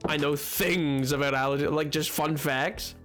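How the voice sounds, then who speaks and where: A man streaming a game, chatting with his viewers in a rambling, excitable way, his voice hoarse from a cold. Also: on the high side, normal speed, soft background music. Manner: rambling, excitable, jumps between topics. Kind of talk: casual chat with stream chat while gaming.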